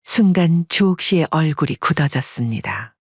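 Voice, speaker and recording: A narrator reading a family drama in calm, measured scripted narration. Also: mid-range voice, slightly rough, no echo, highs cut off.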